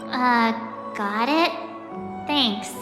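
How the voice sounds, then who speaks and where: A woman's voice: on the high side, drawn-out words. A young woman voicing a perky, chatty, self-deprecating adventurer girl in a video game. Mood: casual, thoughtful. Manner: chatty, expressive. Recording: echoey hall reverb, music behind.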